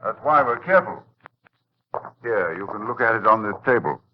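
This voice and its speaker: A muffled vintage radio recording with music behind a learned librarian played by a male actor. His low, animated voice is formal and measured, recounting horror with grave drama. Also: slight background noise, lightly acted. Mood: guarded. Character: learned, horrified university librarian recounting events. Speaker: narrator and lead actor. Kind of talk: 1940s radio horror narration.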